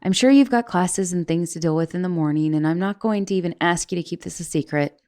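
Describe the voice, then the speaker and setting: A young woman playing a guilty, caring giantess college student in an intimate ASMR roleplay, hesitant and stammering, with soft turns toward whispering. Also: lowish voice, quick pace, clear, resonant tone, natural voice. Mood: apologetic, gentle. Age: young adult. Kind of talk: ASMR roleplay, intimate one-sided dialogue.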